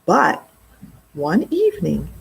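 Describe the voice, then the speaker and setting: A middle-aged woman reading aloud to children in an expressive way, pausing for casual explanatory asides. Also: lowish voice, gravelly, husky, poor audio. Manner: expressive, explaining words and asides to young listeners. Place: indoor library children's room.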